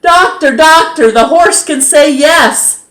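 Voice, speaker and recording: A woman voicing an excitable wife who calls out eagerly in a storybook read-aloud. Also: high-pitched, slow pace, pitched above the performer's own voice. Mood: amazed, excited. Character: excitable wife calling out to her husband. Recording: roomy, echoing sound.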